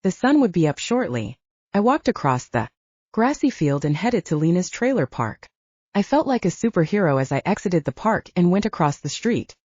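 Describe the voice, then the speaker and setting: A woman's voice: on the low side, a little mellow, husky, dry recording, muffled recording. A woman reading scripted audiobook narration with dramatic storytelling delivery.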